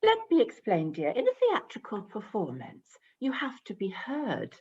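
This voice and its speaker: A woman playing a brisk but patient theatre director in a naturalistic play, explaining and coaxing. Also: fairly high pitch, wildly swooping pitch, darkish tone, husky, exaggerated voice. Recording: dull muffled video-call audio.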